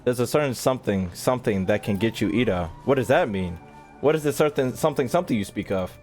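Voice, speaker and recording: A man's voice: mid-range voice, expressive, fast, darkish tone, soft background music. A man chatting casually to his livestream audience while gaming, slangy and profane, with mock exasperation. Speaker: video game streamer talking to chat.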